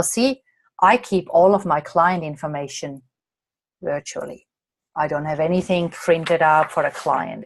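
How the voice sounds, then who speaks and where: Hoarse-ish aussie-born lady coach, kinda low voice, dry close sound, chatting through viewer questions. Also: no echo. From Australia. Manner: chatty, reads out viewer comments and answers them. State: slightly hoarse, tired late at night. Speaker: coach and presenter. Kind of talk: live online masterclass Q&A.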